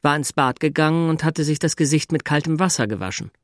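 An actor playing a sober, understated middle-aged systems consultant in a German radio drama. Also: male voice, on the high side, fast talker, close to an everyday voice. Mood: reflective. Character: sober male Berlin systems consultant in a love affair. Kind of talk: German radio drama dialogue and inner narration.

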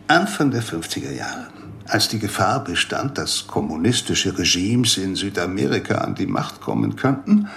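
A man's voice: fairly low pitch, vocal fry, slight background noise. A man playing a rambling, conspiracy-minded doctor in a radio play, lecturing with theatrical emphasis.

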